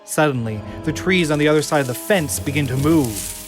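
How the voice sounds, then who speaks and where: A man narrating an audio drama, reading scene descriptions in a measured storytelling style. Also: a little high. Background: music.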